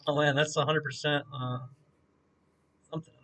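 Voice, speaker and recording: A young man chatting casually and joking while he builds a map on stream, thinking out loud as he works. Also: a little high, flat delivery, moderate pace. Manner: joking, laid-back, thinking aloud. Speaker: mapmaker streaming his work. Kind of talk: casual chat while working.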